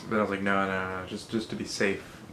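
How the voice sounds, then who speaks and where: A young man with a low, monotone voice, talking casually into a condenser mic in a small bedroom. He sounds loose and self-critical, and swears freely as he goes. A faint hiss of room noise sits under his voice. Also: brisk, echoey room. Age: young adult. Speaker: rap battle creator. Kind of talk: casual behind-the-scenes vlog. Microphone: studio condenser mic.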